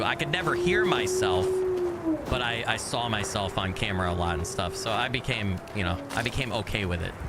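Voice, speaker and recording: A man's voice: fairly low pitch, very noisy. A man from the United States chatting casually with viewers while streaming a game, with a faint regional accent and a rambling way of talking that restarts sentences often. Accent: faint American regional. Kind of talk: casual gameplay chat with viewers.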